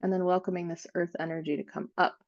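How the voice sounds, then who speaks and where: A woman with a lowish, noticeably creaky voice leads a guided meditation. She speaks gently in unhurried phrases, leaving frequent pauses as she improvises the visualization. The recording is somewhat dull and lo-fi. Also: steady tone.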